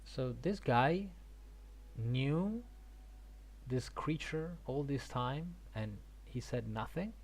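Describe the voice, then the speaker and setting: A gaming streamer reacts casually to a story in an animated, swooping voice. The delivery is slow and halting, with words repeated while thinking aloud. Some noise sits behind the voice. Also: medium pitch.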